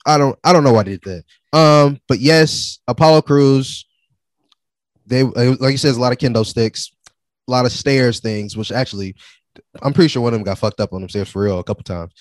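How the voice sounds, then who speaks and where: A man co-hosting a casual wrestling chat, opinionated and animated, dropping slang as he rants. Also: medium pitch, a little fast, dry recording. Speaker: wrestling podcast co-host.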